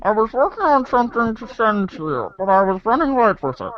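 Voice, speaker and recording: A man voicing a composed, wordy teenage girl as a masked supervillain in a deadpan comic storybook impression. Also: high voice, fairly quick, lo-fi sound. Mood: composed, formal. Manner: formal, verbose, deadpan.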